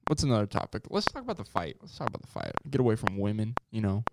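A man's voice: on the low side, sing-song, fast talker. A young man chatting casually in a slangy, joking way. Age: young adult. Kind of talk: casual chat. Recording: very noisy recording with clicks.

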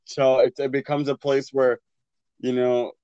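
A man's voice: mid-range voice, flat delivery, unhurried, dark tone, clean tone, dry recording, highs cut off. A young man talking reflectively in casual conversation, searching for words with many "like" fillers. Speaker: podcast co-host.

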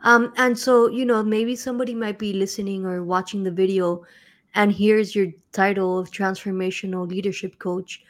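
A woman's voice: mid-range voice, fairly even intonation, moderate pace. Upbeat woman hosting a podcast. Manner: friendly, upbeat questions with fillers. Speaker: podcast host. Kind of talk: podcast interview.

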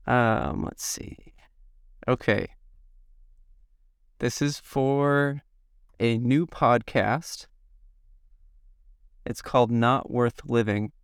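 Man, thirties, from the Midwest, slightly hoarse, gravelly yet smooth, halting self-interview. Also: mid-range voice, no echo, studio quality. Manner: slow, halting, reflective. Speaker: podcast guest.

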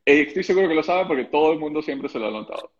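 A man's voice: a little high, lively intonation, very fast. A man hosting a live-stream interview, asking long rambling questions with frequent restarts. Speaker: host, interviewer. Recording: dull lo-fi audio.

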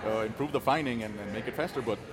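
A man's voice: mid-range voice, fast, a crowd in the background, low-quality recording. A man from Rico, telling stories casually as an interview guest in a hesitant, jokey way. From Puerto Rico. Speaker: guest, brewer. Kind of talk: casual storytelling in a podcast interview.

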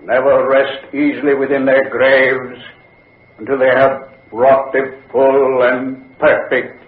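An actor playing a vengeful old man risen from the grave, measured and coldly gloating, in 1940s radio horror style. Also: medium pitch, monotone, lightly acted. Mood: grim vengeance. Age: older. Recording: echoey, tinny vintage radio recording.

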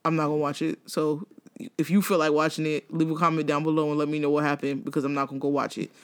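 A woman in her thirties co-hosting a casual gossip chat, blunt and profane, launching into animated rants. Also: low voice, quick pace. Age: 30s. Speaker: podcast co-host.

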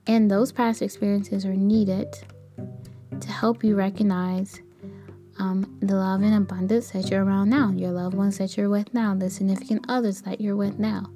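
Light-voiced young woman, mellow, casual. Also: mid-range voice, level tone, normal speed, soft background music. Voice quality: light, soft voice. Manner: rambling, candid. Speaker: podcast host; kindergarten teacher. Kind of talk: casual personal monologue.

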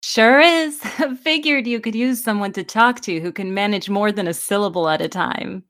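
A woman's voice: mid-range voice, normal speed, fairly bright tone, lightly acted. A woman playing a plucky, teasing teenage girl, a young sweetheart in a fan audio drama.

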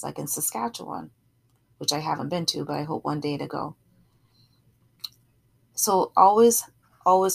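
Middle-aged lady preaching, pretty deep voice, gravelly creak, explains stuff in a chatty way. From Barbados. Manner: explanatory, often checks in with "right?" and "you know". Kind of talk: sermon, conversational teaching.

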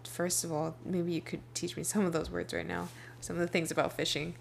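A woman's voice: fairly low pitch, level tone, fairly quick, vocal fry. A woman hosts a podcast, chatting casually and self-deprecatingly, often trailing off and restarting. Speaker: podcast host. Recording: faint background noise.

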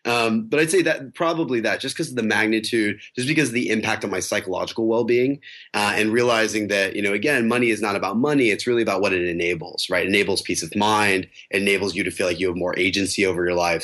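A man's voice: lowish voice, fairly bright tone. A man in his twenties, a podcast guest talking casually and reflectively, with many fillers and restarts. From United States. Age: 20s. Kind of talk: casual interview talk.

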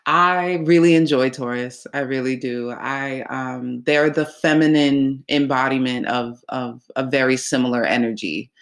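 Host, nasal and congested, unhurried, loose chatty delivery with frequent ums, mid pitch. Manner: relaxed, rambling with many fillers. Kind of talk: casual astrology talk.

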